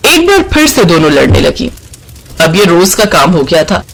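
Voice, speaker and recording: A male storyteller narrates a cartoon folk tale. His pitch is unusually high and moves up and down in a very lively way, as scripted narration for an animated story. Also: moderate pace, creaky.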